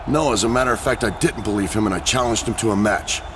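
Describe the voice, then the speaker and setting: A man's voice: fairly low pitch, fast, lightly acted. A man playing a defiant wrestler in a scripted video game cutscene, insisting and ready to fight. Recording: noisy game audio with ambience.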